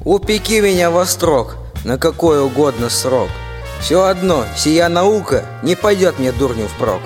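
An actor playing a blunt, sulky general itching for battle in a rhymed fairy-tale radio play. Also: male voice, fairly high pitch, lively intonation, measured pace. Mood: sulky, resigned. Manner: blunt rhymed soldierly speech. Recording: hall reverb, faint music behind.

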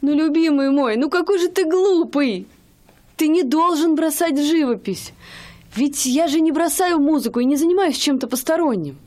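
An actress in a radio play voicing a young wife and music student, eager, affectionate and doting. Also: female voice, high voice, expressive, normal speed, darkish tone. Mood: tender. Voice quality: gushing, affectionate.

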